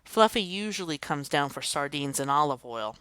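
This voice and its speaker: A woman's voice: deep voice, lively intonation, moderate pace, fairly bright tone, clear, resonant tone, no echo. Brief: officious man principal, lecturing, rule-bound, voiced by a woman. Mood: earnest. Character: stern, pompous, rule-bound male school principal.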